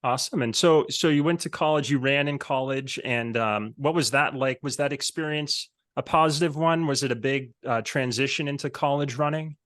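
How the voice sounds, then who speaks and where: Middle aged american guy hosting a podcast, just chatting and asking questions, normal mid pitch. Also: normal speed, few pauses, a bit bright. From Pennsylvania, United States. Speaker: podcast host. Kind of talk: casual conversational interview.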